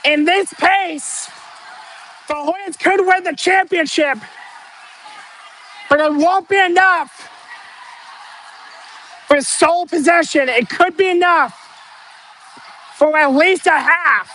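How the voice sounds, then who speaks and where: A man with a strikingly high voice calls a basketball game from inside a gym, shouting the plays in a slow, drawn-out way. His voice sounds strained and worn, close to giving out. Loud gym noise and bouncing balls fill the background. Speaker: amateur play-by-play announcer. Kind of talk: live basketball play-by-play commentary. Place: indoor school gym. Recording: noisy gym with ball bounces.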